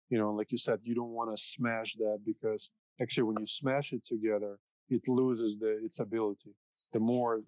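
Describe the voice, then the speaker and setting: A man who grew up in the US after moving from the Soviet Union, a music producer, explaining sound technicalities in a halting way, close to a studio mic. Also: on the low side, darkish tone, no room sound. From Soviet Union, raised in the US. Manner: halting, frequent restarts while explaining. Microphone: dynamic studio mic up close. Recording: dull, dry podcast recording.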